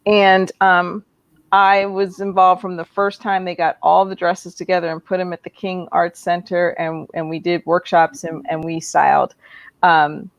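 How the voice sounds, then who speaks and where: A woman's voice: lowish voice, level tone, measured pace, no echo, lo-fi sound. A woman hosting a casual live interview, chatty and enthusiastic, with lots of fillers. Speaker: host.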